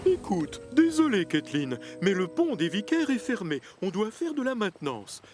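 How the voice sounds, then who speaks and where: A man's voice: high-pitched, big pitch swings, moderate pace, music in the background. An actor playing a stout, stern male railway boss in a cartoon, who lectures firmly. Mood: apologetic. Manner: firm and lecturing. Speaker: railway controller. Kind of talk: French cartoon dub.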